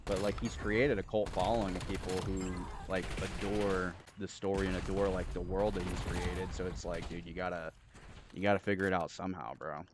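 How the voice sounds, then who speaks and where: Deep-voiced man rambling casually over game noise. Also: little pitch movement. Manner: halting, repetitive, slangy, heavy on filler "like". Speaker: video game streamer. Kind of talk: casual rambling chat while gaming. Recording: gunfire and game noise behind. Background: video game gunfire and clicks.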